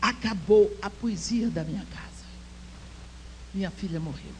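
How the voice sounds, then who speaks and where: A woman's voice: medium pitch, measured pace. An older woman shares a personal testimony before a women's church gathering, taking her time over each phrase. She lets her voice sink almost to a whisper at tender moments, then presses her listeners with earnest appeals. A faint hum sits under the recording. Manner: heartfelt storytelling, swings between soft near-whispers and emphatic exhortation. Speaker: conference speaker.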